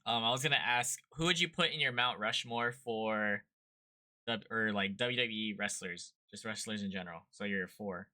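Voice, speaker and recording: Male host, sharp crisp tone, casual and inquisitive interviewer. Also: lowish voice, moderate pace. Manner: curious, asks follow-up questions. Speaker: podcast host.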